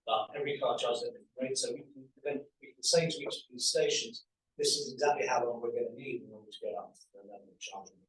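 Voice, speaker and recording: A man giving a conference presentation, explaining in a relaxed way with frequent fillers and self-corrections. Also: medium pitch, fast. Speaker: entrepreneur presenting at a panel event. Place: event room. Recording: roomy echo, mic at a distance.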